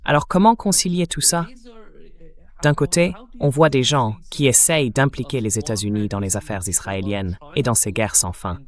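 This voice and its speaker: A synthetic male voice reading an interviewer's translated questions in a measured way. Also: mid-range voice.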